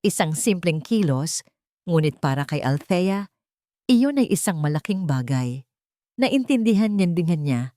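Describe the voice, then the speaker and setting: Female narrator, lowish register, dramatic audio-story read, dry sound. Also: sing-song, unhurried, dark tone, dry recording.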